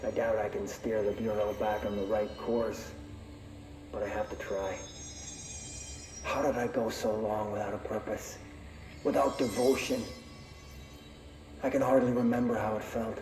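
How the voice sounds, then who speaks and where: A solemn, disillusioned devotee in a video game monologue. His low voice is grave and reflective, set in an echoey space with music clearly behind it. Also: little pitch movement, measured pace, pauses often. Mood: resolute, wistful.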